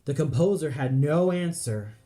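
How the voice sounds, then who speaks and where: A man's voice: on the high side, expressive, normal speed, mellow. A man reading dramatic scripted narration for an audio play. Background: music.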